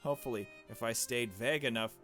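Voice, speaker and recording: A man with a mid-pitched voice narrates a visual novel as he plays it. He reads casually and adds offhand comments, with game music behind him. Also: quick pace, fairly bright tone. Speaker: gamer reading a visual novel aloud. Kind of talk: casual let's play narration with asides. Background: game music with strings.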